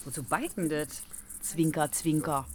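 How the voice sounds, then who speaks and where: A woman's voice: sits low, measured pace, darkish tone. An actress playing a playful, teasing village woman in a radio play, bantering in short clipped phrases. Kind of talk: naturalistic radio play acting.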